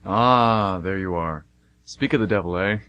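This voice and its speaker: A man's voice: low voice, measured pace, fairly bright tone, muffled recording. A man playing God as a smug, jovial, teasing deity in a comedic amateur radio play, breezy and fond of puns. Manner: breezy, self-satisfied, punning. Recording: noisy amateur radio recording with hum.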